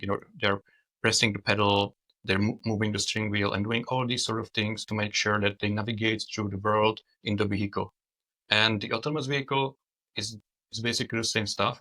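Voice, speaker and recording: A man's voice: fairly low pitch, sharp tone, low-quality recording. A man from the Czech Republic, a guest on a video-call interview, explaining things in a hesitant way with many restarts. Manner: hesitant, frequent restarts and fillers. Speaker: guest, autonomous vehicle expert. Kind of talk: conversational interview.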